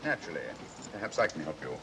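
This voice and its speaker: An actor playing a cool, courteous, wry British gentleman detective in a 1940s film. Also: very animated, quick pace. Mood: wry, polite. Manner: polite, wry. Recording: old film soundtrack, faint train rumble.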